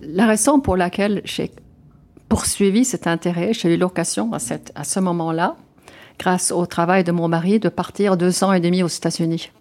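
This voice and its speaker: Older Swedish-accented woman with gravelly voice. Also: lowish voice, fairly even intonation, moderate pace, darkish tone. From Sweden. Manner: thoughtful, hesitant with many fillers and restarts. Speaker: guest. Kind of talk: interview answers.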